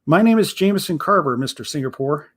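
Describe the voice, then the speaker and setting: A man's voice: a little high, lively intonation, fast. A man voicing a polite, nervous young male writer who stammers a little. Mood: earnest, nervous.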